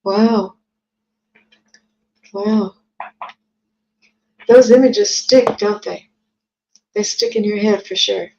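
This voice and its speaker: A woman's voice: medium pitch, fairly even intonation, drawn-out words, pauses often, a little mellow. A woman, chatting casually as she hosts a live psychic reading stream and reads out viewer comments. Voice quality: scratchy, gravelly voice. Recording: dull lo-fi stream audio.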